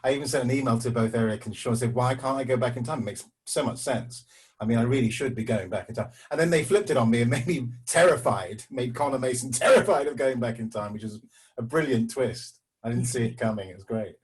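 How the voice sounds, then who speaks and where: A man's voice: mid-range voice, fast talker. A man speaking as an actor on a panel, telling a casual, humorous anecdote.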